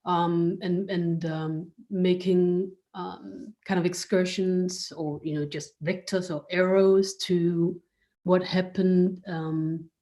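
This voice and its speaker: Woman w low mellow voice, chatting as a guest, really slow n hesitant, pauses a lot. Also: fairly even intonation. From Denmark. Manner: hesitant, thinking aloud with many pauses. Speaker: interview guest, art space director. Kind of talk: casual spoken interview answers.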